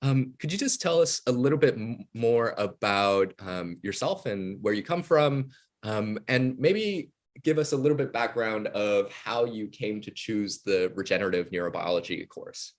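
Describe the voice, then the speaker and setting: A man's voice: lowish voice, expressive, moderate pace. A man hosting a student spotlight interview, giving introductions and asking polite, open questions in a conversational way. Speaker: interview host. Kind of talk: polite scripted-then-casual interviewing.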